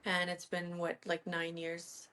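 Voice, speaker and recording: A woman's voice: on the low side, completely flat delivery, unhurried, bright, forceful tone. A woman in her thirties from Iran, a guest in a casual interview, talking chattily with frequent fillers and self-corrections. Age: 30s.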